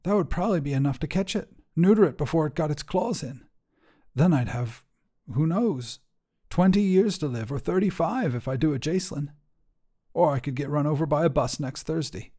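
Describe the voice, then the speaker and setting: A man's voice: fairly high pitch, animated, moderate pace, mellow, pitched above the performer's own voice. A man voicing a weary, defiant middle-aged mother, drily matter-of-fact about her terminal illness, in a storybook reading. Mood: matter-of-fact, resigned.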